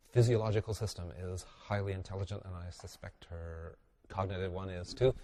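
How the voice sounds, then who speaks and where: A man with a slightly congested, nasal voice from a cold, teaching a bodywork technique in a relaxed, musing webinar style with wry asides. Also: low-pitched. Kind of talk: instructional webinar talk.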